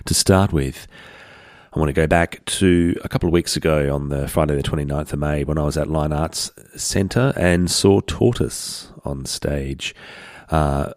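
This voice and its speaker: A man hosting a community radio music show, relaxed and rambling with lots of fillers, sounding congested from a head cold. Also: a bass voice, a little slow, dry recording, studio quality. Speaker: radio host. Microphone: studio broadcast mic.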